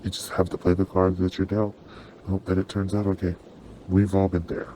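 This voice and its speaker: Very deep, mellow, gentle demon, voice-changed performer. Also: monotone, a little fast, slight background noise, low-quality recording. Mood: tender, encouraging. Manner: soothing, reassuring, conversational. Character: gentle, apologetic sleep paralysis demon comforting a human. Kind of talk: ASMR roleplay.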